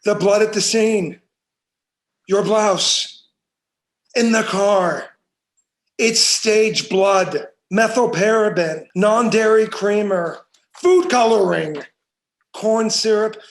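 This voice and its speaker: A man performs a hard-nosed, scornful detective in a crime drama interrogation. His voice sits high for a man and he speaks slowly, leaning into each accusation with sarcasm. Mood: pressing, accusing. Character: hard, scornful, bullying male police detective. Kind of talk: dramatic screenplay table read.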